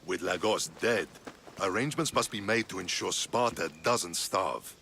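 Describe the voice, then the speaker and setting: An actor playing a stern, disapproving Spartan general with a gravelly voice. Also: male voice, deep voice, moderate pace, bright, forceful tone, slight background noise, full character voice.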